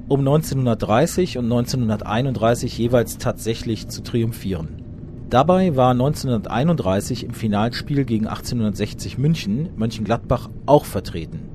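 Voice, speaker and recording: A man in the middle of the pitch range narrates a scripted documentary report, reading dates and facts in a measured, matter-of-fact way. The recording is noisy, with traffic sound running clearly behind the voice.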